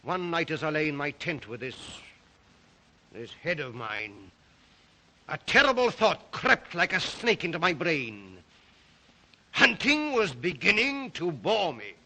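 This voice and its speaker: An actor playing a suave, obsessive Russian count and hunter, speaking with a Russian accent in a deliberate, theatrical, old melodrama manner. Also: male voice, a little high, very animated, sharp tone, full character voice. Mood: brooding, intense. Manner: theatrical, deliberate, brooding, courtly. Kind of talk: 1930s film-era melodramatic acting.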